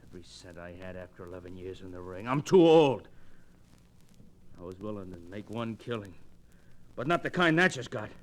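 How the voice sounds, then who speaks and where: A man's voice: sits low, very animated, normal speed, long pauses. A man playing a washed-up, belligerent prizefighter in a 1940s radio crime drama, tough, slangy and blustering. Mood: angry, agitated.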